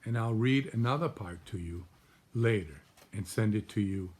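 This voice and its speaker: A man in his sixties reading a children's storybook aloud like a grandfather, pausing to explain the pictures to small children. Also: lowish voice, darkish tone, a little hiss or hum. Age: 60s. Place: small room at home, indoors.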